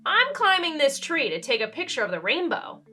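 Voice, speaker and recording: An eager, excitable storybook boy, acted out by a woman reader, keen on adventure. The voice is high and bright, with very lively swings in pitch. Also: normal speed, soft background music, heavily acted. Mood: excited. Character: eager city boy keen on wildlife and photos. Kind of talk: children's storybook character voice.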